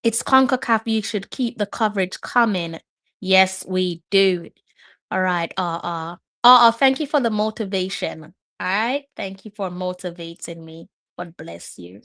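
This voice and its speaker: A woman's voice: mid-range voice, a little slow, a bit bright, no echo. A woman hosting a live match watch-along, chatting and musing aloud as she reads up on players. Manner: chatty, muses aloud while reading up on players. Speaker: host.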